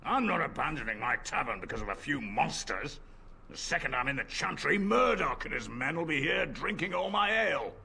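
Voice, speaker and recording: An actor playing a greedy, stubborn middle-aged tavern keeper in a video game, wheedling and then cowardly. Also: male voice, a little high, lively intonation, brisk, gravelly, pushed and bright, slightly rough, full character voice. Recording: game audio with faint ambience.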